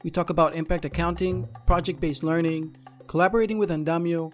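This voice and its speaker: A man's voice: on the high side, steady tone, a little fast. Male host reading an intro over music. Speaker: interview host. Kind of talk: scripted show intro.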